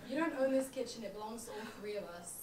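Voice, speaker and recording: A woman's voice: fairly high pitch, quick pace, close to an everyday voice. A young woman playing a defensive, self-doubting roommate in a comedic stage play. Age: young adult. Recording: echoey room, distant mic, clear background noise.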